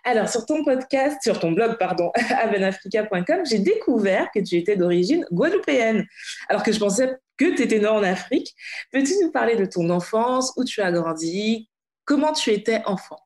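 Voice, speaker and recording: A woman podcast host speaks at a middle pitch. Her delivery is conversational, and the room around her voice is noticeably echoey. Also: animated, brisk, a little mellow. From France, Paris suburbs. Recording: roomy, echoey sound.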